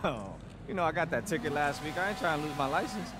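An actor with an American accent playing a flamboyant, chatty young car-meet regular in a video game, with exuberant, drawn-out showman delivery. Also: a little high, animated, lightly acted. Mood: casual. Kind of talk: video game cutscene acting. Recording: noisy car meet ambience.

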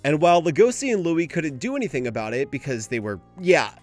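A man's voice: fairly high pitch, animated, fast, darkish tone, faint music behind. A young adult man narrating a scripted video essay in a casual style. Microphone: home microphone, voice-over.

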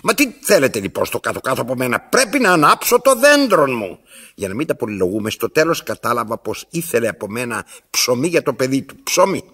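An actor playing an imperious, pompous old tyrant in a radio play, declaiming with self-interrupting asides in a gravelly voice. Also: male voice, mid-range voice, sing-song, a bit bright, heavily acted. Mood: haughty, declamatory. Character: imperious, theatrical old male tyrant telling his story. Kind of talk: radio theatre acting.

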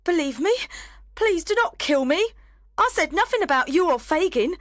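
A man reading an audiobook who voices a frightened young woman, pleading and rushing her words. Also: extremely high pitch, animated, fairly bright tone, no echo, studio quality, pitched above the performer's own voice. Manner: pleading, rushed.

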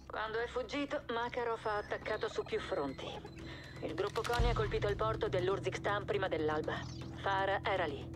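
Casting: female actor as matter-of-fact intelligence officer for war game briefings, mid-pitched, authoritative, score playing underneath. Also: a little fast, over music, natural voice. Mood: businesslike. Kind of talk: cinematic military game acting.

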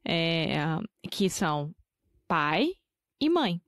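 A woman's voice: lowish voice, very animated, very slow, a bit bright, dry recording, clean studio sound. A Brazilian woman co-hosting a casual podcast that teaches Portuguese, who laughs often and pronounces words carefully. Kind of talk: casual language-teaching chat.

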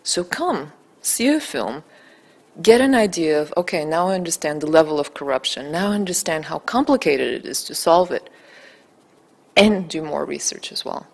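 Woman, 30s, low voice, earnest and articulate, film-maker being interviewed on a handheld mic. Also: lively intonation, normal speed, gravelly. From Bulgaria. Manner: earnest, articulate, building emphasis. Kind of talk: interview answers. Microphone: handheld mic held by interviewer.

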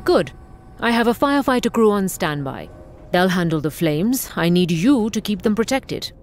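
Woman playing game corporate boss, mid-pitched, formal, measured, condescending, faint background noise. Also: sing-song, lightly acted. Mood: brisk, controlled. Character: smooth, evasive, imperious female corporate station director. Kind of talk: video game dramatic acting.

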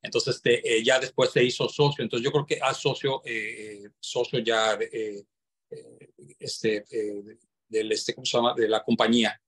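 Halting man explaining on a webinar. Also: mid-range voice, fairly even intonation, a bit bright, breathy edge. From Mexico. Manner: halting, searching for words. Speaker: property developer's representative, webinar guest. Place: indoors, on a video call.

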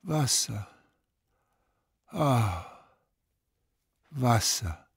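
A man voicing a deranged, childlike and dreamy madman in an audio drama, talking to himself in a raspy voice. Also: mid-range voice, very animated, no room sound, clean studio sound, full character voice. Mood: dreamy, blissful. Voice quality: raspy, husky, creaky. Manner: slow, rambling talk to himself. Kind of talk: German audio drama of a literary story.

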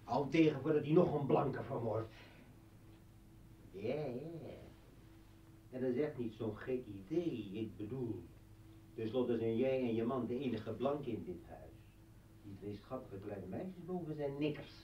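An actor as mocking, volatile militant, distant and echoey. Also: male voice, medium pitch, a little slow, long pauses, close to an everyday voice. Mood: sardonic. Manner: mocking, sardonic, theatrical. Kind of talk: Dutch radio play acting. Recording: echoey, distant, dull, faintly noisy radio recording.

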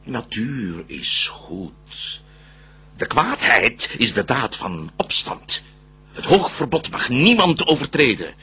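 An actor playing a shrewd, wary devil in a Dutch verse radio drama, declaiming intensely in a raspy voice. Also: male voice, medium pitch, very animated, slow, bright, forceful tone, heavily acted. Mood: stern warning. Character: shrewd, wary male devil, conspiring accomplice in temptation.

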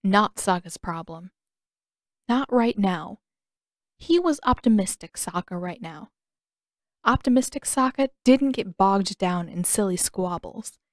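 A woman's voice: medium pitch, expressive, unhurried, no echo, clean studio sound. A woman reading a fan fiction story aloud as scripted audiobook narration. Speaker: podfic narrator and author.

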